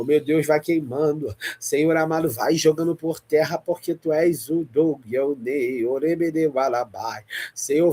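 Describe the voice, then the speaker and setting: Fervent Brazilian man praying, slightly high voice. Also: darkish tone. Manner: repetitive, pleading invocations with chanted syllables. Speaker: preacher leading live online prayer. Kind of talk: fervent Pentecostal prayer with glossolalia.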